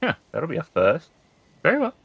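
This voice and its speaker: A man's voice: on the high side, wildly swooping pitch, brisk, gravelly, husky, dry recording, pitched above the performer's own voice, full character voice. A man voicing a haughty, cagey sixty-year-old woman who rules a city, speaking formally in a tabletop game. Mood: dismissive.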